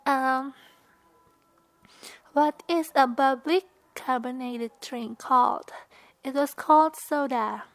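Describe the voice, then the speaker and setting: Indonesian-accented woman vlogger, high-pitched and unhurried, soft whispery asides. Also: no room sound. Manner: soft-spoken, slips into whispered asides, giggly. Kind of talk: casual accent-tag vlog, reading questions aloud.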